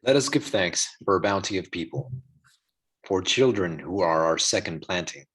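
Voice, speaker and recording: A man with a deep voice reads verse aloud on a video call. He speaks the poem in long phrases, pitched well below average. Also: lively intonation, moderate pace, a bit bright, breathy edge, rough recording. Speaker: theatre company guest. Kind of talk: poetry reading.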